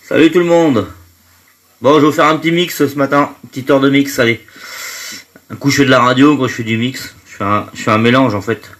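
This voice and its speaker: A man's voice: mid-range voice, fairly even intonation, brisk, a bit bright. A man hosting a live stream from home, stuffy with hay fever, chatting casually and greeting his viewers one by one. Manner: chatty, greets viewers by name, trails off with fillers.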